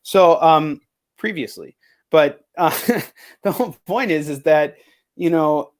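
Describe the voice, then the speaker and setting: A man's voice: a little high, takes it slowly. A man hosting a casual chat interview, talking bluntly and swearing freely. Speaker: show host.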